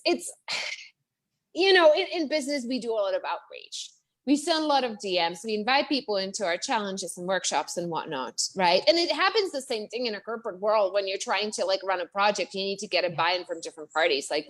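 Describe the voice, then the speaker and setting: A woman with a Czech accent, a guest speaker in a live-streamed interview, talking in a chatty, animated way with many restarts and fillers. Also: mid-range voice. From Czech Republic.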